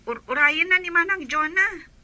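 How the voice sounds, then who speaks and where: A woman's voice: very high voice, moderate pace, bright, forceful tone, no echo, heavily acted. A woman with a Filipino accent voicing a polite, eager young girl in a radio drama.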